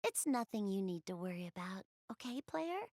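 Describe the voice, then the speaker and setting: Anime game role, a bashful, soft-spoken goddess girl. Lively intonation with touches of creak, hesitant delivery, dry close sound. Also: mid-range voice, moderate pace, no echo. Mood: apologetic, reassuring. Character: shy, flustered young goddess girl, soft-spoken, easily embarrassed. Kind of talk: anime video game voice acting, addressing the player.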